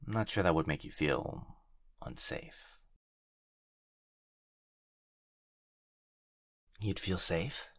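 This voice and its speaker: A man playing an obsessive, doting fox-spirit husband in an intimate ASMR roleplay, speaking softly and tenderly, close to the microphone. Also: deep voice, gravelly, no room sound, exaggerated voice. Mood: tender, concerned. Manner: soft, hushed, tender, halting delivery. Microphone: close binaural microphone.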